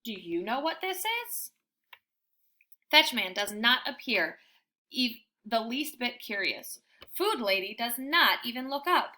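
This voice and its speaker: A woman reading a children's book aloud as storyteller, with expressive, dramatic and deliberate phrasing for young listeners. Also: fairly high pitch.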